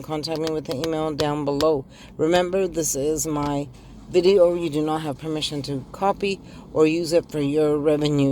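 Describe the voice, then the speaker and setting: A middle-aged woman with a raspy voice after the flu, giving a casual tarot reading to camera and talking directly to viewers, with hesitant ums, recorded inside a car on a phone held in one hand. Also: low-pitched, a little mellow. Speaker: tarot reader.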